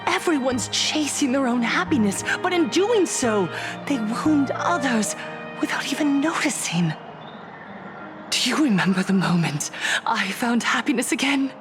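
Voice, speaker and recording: A fervent cult preacher speaks in a high voice with slow, measured weight. Her game-story sermon is solemn, zealous and rousing, with music playing behind her. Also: animated. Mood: fervent, persuasive. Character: fervent female cult preacher promising happiness, smiling and zealous. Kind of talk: theatrical scripted sermon.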